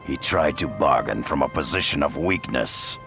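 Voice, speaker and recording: Very deep gravelly beast warrior. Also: male voice, animated, music in the background, heavily acted. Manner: measured, menacing, grave delivery. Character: proud, ruthless, cunning beast-warrior rebel leader. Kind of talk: dramatic video game acting.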